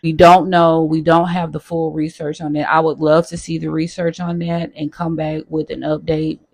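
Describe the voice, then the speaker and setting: Gravelly, hoarse woman vlogging. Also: sits low, level tone, normal speed, a little mellow. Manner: chatty, addresses viewers directly as y'all. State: hoarse, losing her voice. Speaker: nurse sharing her own experience. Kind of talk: casual how-to vlog.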